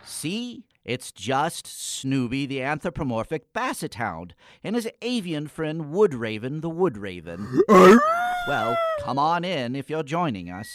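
An actor playing a morose, dryly polite young male poet in a comic gothic radio play, with a theatrical, wordy, deadpan manner. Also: on the high side, a little slow, noisy background.

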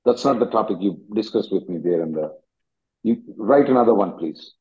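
A man with a lowish voice runs a call-in on immigration questions, keeping answers short and cutting callers off to move on. His voice comes through a dull, lo-fi conference line. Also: animated, normal speed. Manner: curt, businesslike, hurries callers along. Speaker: immigration attorney hosting a call-in.

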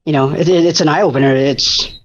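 A man's voice: on the high side, steady tone, fairly quick. Enthusiastic man with a muffled sound. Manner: enthusiastic, rambling with many fillers. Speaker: food bank disaster preparedness coordinator. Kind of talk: casual radio interview.

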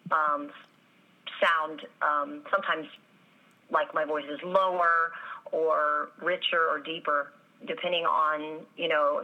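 A voice actress answering interview questions, with a slightly raspy voice and many pauses and ums. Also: female voice, low voice, phone-quality sound. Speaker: voice actress guest.

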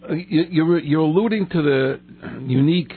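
A man hosting a radio interview, asking quick, prodding questions and cutting in. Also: a little high, slightly rough. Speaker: radio host. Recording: tinny phone-like radio line.

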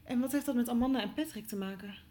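Actress as a higher-voiced, hesitant young reporter. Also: female voice, fast, warm tone, natural voice. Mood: probing, puzzled. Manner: hesitant, probing, polite. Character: eager, inquisitive young woman reporter, hesitant but persistent. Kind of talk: naturalistic audio drama dialogue.

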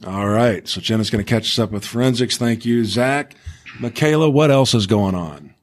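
A man's voice: mid-range voice, moderate pace. A man hosting a casual school podcast, encouraging his co-hosts and prompting them with questions. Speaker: podcast host.

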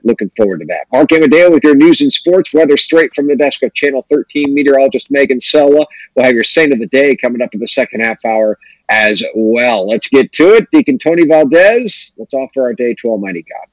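A man's voice: on the low side, no room sound, low-quality recording. A man hosting a morning talk radio show, running through the lineup in an upbeat announcer way. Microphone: studio microphone.

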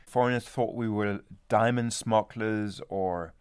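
A man's voice: lowish voice, steady tone, unhurried, clear, resonant tone, no echo, studio quality. Thoughtful, hesitant male anthropologist. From Denmark. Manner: thoughtful, hesitant, many restarts. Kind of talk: reflective interview answers.

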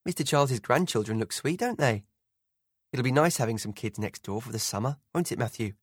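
Guy doing a nosy chatty mum, voice pushed up high, lots of little questions, dry close sound. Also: expressive, brisk, husky, no room sound. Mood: chatty, probing. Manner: bright, probing questions in a raised voice. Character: chatty middle-aged mother, prying but caring.

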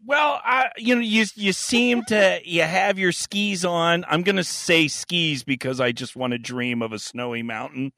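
A man's voice: on the high side, a little slow, few pauses, bright, forceful tone, dry recording, clean studio sound. A man co-hosting a podcast, talking casually with crude, sarcastic jokes and swearing. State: hot and sweaty. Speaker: podcast co-host. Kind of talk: casual chat.

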